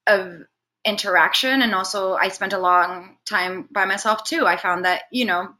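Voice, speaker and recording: Bright-voiced woman, chatty and expressive. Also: mid-range voice. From Venezuela. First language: Spanish. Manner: chatty, expressive, quick to laugh. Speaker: interview guest. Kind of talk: casual conversational interview, personal stories.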